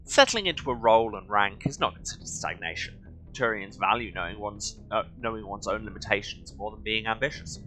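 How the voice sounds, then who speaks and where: Low, bright-voiced man with very lively intonation. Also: low-quality recording. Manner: wry, sardonic asides. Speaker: game streamer, video host. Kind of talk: reading in-game lore aloud with offhand commentary.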